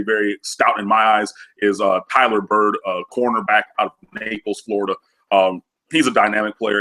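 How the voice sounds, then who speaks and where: Nasal man with a cold, bright voice. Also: on the low side, low-quality recording. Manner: chatty, many fillers, enthusiastic. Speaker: recruiting analyst guest. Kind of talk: casual sports recruiting talk.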